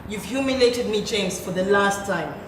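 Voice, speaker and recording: A woman's voice: medium pitch, normal speed, lightly acted. A woman acting a haughty, tart-tongued wife and mother in a stage play, middle-aged. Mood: tart, wounded. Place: theatre stage. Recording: echoey, very noisy distant theatre recording.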